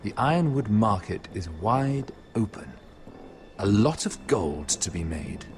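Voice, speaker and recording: An actor playing a scheming, wealthy merchant lord in a story game, with silky, mocking, falsely friendly speech. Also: male voice, on the low side, big pitch swings, unhurried, a little mellow, soft background music. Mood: smug. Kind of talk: video game voice acting.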